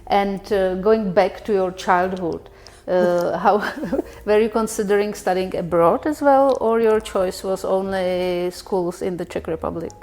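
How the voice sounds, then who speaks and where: A woman's voice: mid-range voice, unhurried, few pauses, a little mellow. A woman hosting a podcast, asking questions in a chatty, encouraging conversational interview style. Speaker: podcast host.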